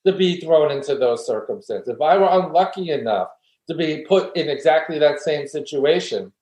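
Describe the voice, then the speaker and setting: A man's voice: a little high, lively intonation, moderate pace, a little mellow. A man speaking as an academic interview guest, explaining and arguing his points earnestly in a conversational way. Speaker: guest philosophy professor. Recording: roomy, echoey room sound.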